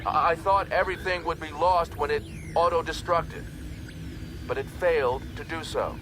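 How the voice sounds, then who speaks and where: A man's voice: fairly high pitch, steady tone, normal speed, background noise, pitched above the performer's own voice, natural voice. A man voicing a harsh, commanding female alien scientist in an audiobook, clipped and explanatory. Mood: grim, businesslike. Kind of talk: audiobook character acting.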